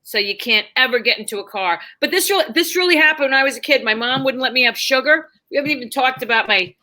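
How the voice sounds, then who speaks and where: A high-voiced woman with a bright, forceful edge. She tells stories in an animated, conversational way, speaking candidly and emphatically and slipping into quoted dialogue as she goes. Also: quick pace. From New York, United States. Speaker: comedian guest.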